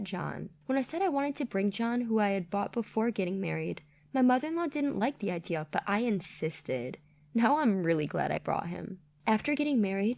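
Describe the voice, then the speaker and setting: A woman's voice: mid-range voice, creaky, a little mellow. A woman reading a scripted first-person family drama as a story narrator.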